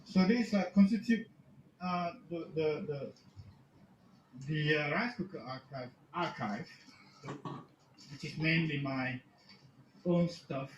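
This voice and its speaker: A middle-aged man shows his collection in an informal, halting way. His voice is a touch high, heard in an echoey room through dull audio with faint noise. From Malaysia. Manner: halting, casual. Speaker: guest storyteller, music archivist. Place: recorded video inside a room at home.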